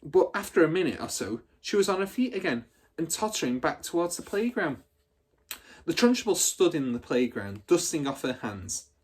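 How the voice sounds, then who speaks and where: A man's voice: on the high side, sing-song, normal speed, husky. A man reading a children's story aloud as a teacher would, with dramatic, emphatic expression.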